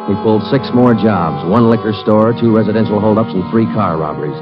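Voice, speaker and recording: An actor playing a terse police detective in a 1940s radio crime drama, clipped and matter-of-fact. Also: male voice, lowish voice, a little fast, lightly acted. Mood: narrating. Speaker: detective and narrator. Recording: dull lo-fi 1940s radio broadcast with loud score music.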